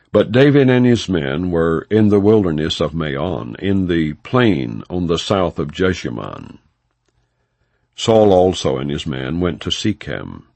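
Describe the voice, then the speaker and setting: A man with a low voice narrates scripture in a formal, measured way. His pitch moves expressively through each phrase, yet he reads plainly as a narrator without acting out parts. The recording is close and dry, with no room sound. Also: gravelly, darkish tone, dry recording. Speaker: audiobook Bible reader. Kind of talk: non-dramatized scripture narration.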